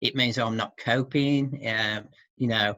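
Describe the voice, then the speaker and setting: A middle-aged man speaking as an expert guest in an informal interview, hesitant and halting, often seeking agreement with tag questions. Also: medium pitch, steady tone, sharp tone, no room sound. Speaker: expert guest who works with men. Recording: dull, muffled lo-fi audio.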